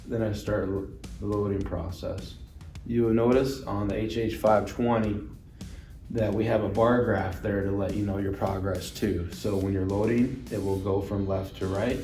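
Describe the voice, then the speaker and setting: A man's voice: on the low side, fairly even intonation, normal speed, dark tone. A man giving an instructional product demonstration, explaining things plainly and step by step. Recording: roomy echo, distant mic, music underneath.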